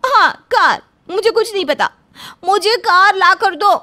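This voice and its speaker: A woman's voice: extremely high pitch, animated, unhurried, pushed and bright, voice pushed up, exaggerated voice. A woman voicing a fed-up, demanding young housewife who whines and complains in a cartoon story. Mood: sulky, demanding. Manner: whining, complaining. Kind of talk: Hindi cartoon story acting.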